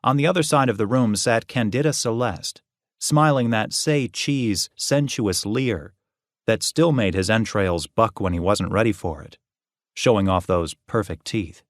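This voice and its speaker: A man reading a novel as an audiobook narrator, expressive and wry. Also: on the low side, measured pace, no room sound, clean studio sound. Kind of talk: scripted fiction narration.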